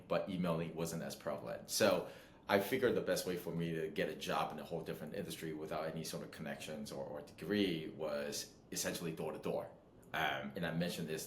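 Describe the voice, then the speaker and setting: A man's voice: deep voice, slight background noise. A middle-aged man, a guest from Los Angeles, chatting upbeat and casual in an interview. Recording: echoey room.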